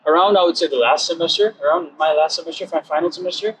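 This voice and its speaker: Higher-pitched young Nepali-accented man, some noise. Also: quick pace, fairly bright tone, rough recording. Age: 20s. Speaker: interview guest, program alumnus. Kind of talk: casual interview answers.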